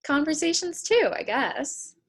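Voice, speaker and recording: A woman's voice: sits high, sing-song, unhurried, a bit bright, exaggerated voice. A woman playing a guarded, candid young woman on an awkward date in a play table read, speaking frankly and with measure. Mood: probing, encouraging.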